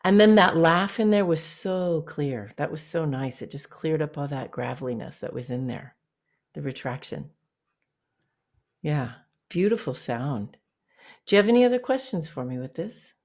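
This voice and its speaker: A woman vocal coach with a fairly low voice, teaching an online singing master class and breaking off to sing short demonstration notes. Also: lively intonation, normal speed, darkish tone. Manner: explains briefly, then sings short demonstration notes. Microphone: video call.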